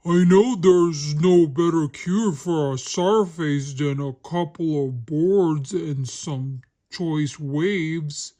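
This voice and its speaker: A woman's voice: deep voice, slow pace, hardly any pauses, warm tone, voice pushed down. A woman voicing an easygoing, encouraging young man in a storybook with a drawling delivery.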